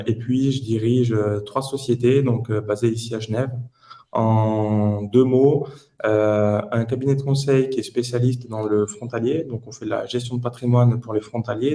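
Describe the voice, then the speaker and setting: A man's voice: mid-range voice, warm tone. A man in his late twenties from southern France, giving conversational answers as an entrepreneur guest on a podcast. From Toulouse, southern France.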